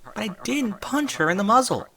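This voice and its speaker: A man's voice: high-pitched, very animated, fairly quick, pushed and bright, voice pushed up, exaggerated voice. A man voicing a small, tearful filly pony who sniffles and protests. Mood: protesting.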